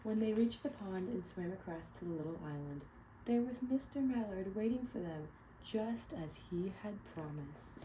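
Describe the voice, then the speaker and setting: A woman reading a picture book aloud to children, pausing to ask them questions. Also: medium pitch, lively intonation, a little hiss or hum, low-quality recording. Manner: gentle, asks children questions. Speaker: read-aloud storyteller.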